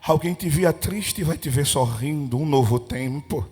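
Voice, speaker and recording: A male evangelist leading a Pentecostal prayer, chanting, speaking in tongues and rising to fervent shouts. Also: fairly high pitch, normal speed, husky. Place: church. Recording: reverberant church hall with music.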